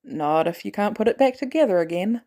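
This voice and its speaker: A woman voicing a weary, guilt-ridden male former assassin in an audio drama, terse and hesitant, with dry, protective gruffness. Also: mid-range voice, lively intonation, a little fast, mellow, close to an everyday voice. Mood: guarded, dry.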